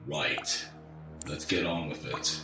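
An actor playing a weary, sarcastic, grumbling sewer maintenance worker in an audio drama. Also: male voice, extremely low pitch, slow, bright, forceful tone, faint music behind, exaggerated voice. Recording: echoey tunnel, distant from the mic.

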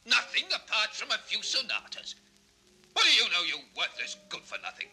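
A man's voice: sits high, wildly swooping pitch, moderate pace, pushed and bright, breathy edge, faint music behind, heavily acted. A man playing an embittered, deaf composer uncle in a vintage children's record drama, querulous, repetitive and given to abrupt outbursts. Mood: indignant, scornful. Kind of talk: 1960s children's record radio-play acting.

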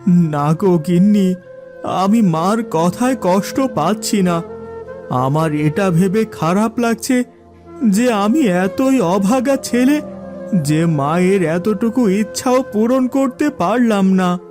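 A poor village son in an animated fairy tale. His voice is high and slow, humble and pleading, full of earnest devotion. Music plays clearly behind him. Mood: hurt, sorrowful.

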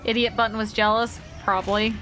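Bright-voiced playful woman streamer. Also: fairly high pitch, level tone, brisk, soft background music. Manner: playful, chatty, talks to chat while playing. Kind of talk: casual gameplay commentary to chat.

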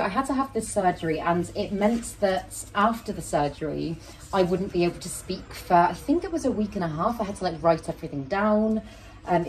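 A woman in her thirties with a deep voice, chatting casually and rambling to camera as a plant channel host while she repots a plant in her small home kitchen. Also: a little mellow, rough recording. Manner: chatty, rambling, self-interrupting; handling soil and roots while talking to camera. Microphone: fixed camera at medium distance.

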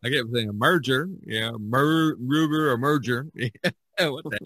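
A man's voice: medium pitch, unhurried, bright, forceful tone, dry recording. A man hosting a podcast in a casual, rambling and jokey way. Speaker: podcast host. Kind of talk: casual chat about painting.

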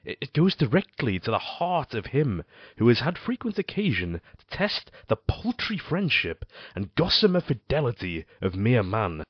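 An actor playing a tormented condemned man confessing in a Gothic audio drama, with theatrical, deliberate, formal delivery. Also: medium pitch, very animated, husky. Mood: brooding, reflective. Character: tormented condemned man confessing his crimes, intense and melancholy. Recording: dull, roomy amateur recording.

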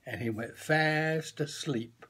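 A man in his seventies reading a children's picture book aloud expressively in a small room at home. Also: on the high side. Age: 70s. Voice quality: gravelly, husky. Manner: slow, deliberate, animated.

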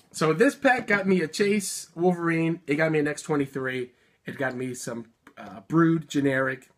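A man in his thirties chatting casually through an unboxing at a desk, upbeat with quick little laughs, a bit sick and sniffling. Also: a little high, a bit bright. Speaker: toy unboxing vlogger. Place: indoor room full of collectibles.

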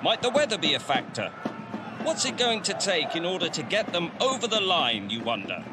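A man's voice: sits high, big pitch swings, normal speed. A man playing a dramatic, florid sports commentator in an audio story. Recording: noisy outdoor ambience behind voice.

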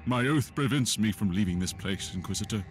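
An actor playing a devout, guilt-ridden priest in a video game, solemn and confessional. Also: male voice, on the low side, lively intonation, a little fast, lightly acted. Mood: solemn, penitent. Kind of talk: scripted game dialogue. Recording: game music behind.

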